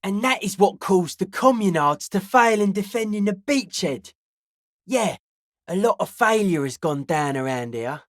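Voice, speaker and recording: A woman's voice: medium pitch, expressive, measured pace, no echo, natural voice. Woman as a cryptic, earnest young raver psychic, dry recording. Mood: cryptic, matter-of-fact. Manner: slangy, cryptic, matter-of-fact. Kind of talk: video game character dialogue.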